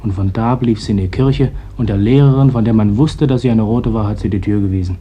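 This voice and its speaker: A man's voice: fairly low pitch, fairly quick, lo-fi sound, a little hiss or hum, lightly acted. A man playing an earnest, defiant young fisherman's son in a radio play, speaking bluntly. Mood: grave, recounting. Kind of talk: German radio play acting.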